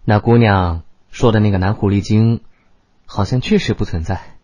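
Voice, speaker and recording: A performer voicing a laid-back, teasing young man in love, casual and unhurried. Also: medium pitch, warm tone, dry recording, muffled recording, lightly acted. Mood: frank, resolved.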